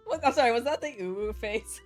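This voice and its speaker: A woman's voice: a little high, expressive, brisk. A woman giving casual, informal playthrough commentary, reacting to a game's story with some swearing. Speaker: gamer reacting to a story quest. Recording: game music behind voice.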